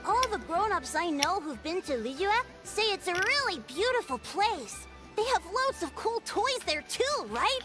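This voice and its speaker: Casting: helpful little kid in a game, high lively voice, faint music underneath. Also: female voice, unhurried, heavily acted. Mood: friendly, eager. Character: young child, friendly, eager, helpful. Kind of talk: video game voice acting.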